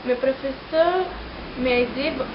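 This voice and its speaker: High-voiced teenage girl in an echoey room. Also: a little slow. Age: teens, 17. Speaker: student of French. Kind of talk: prepared personal testimony in French as a learner. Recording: muffled, echoey, noisy amateur recording.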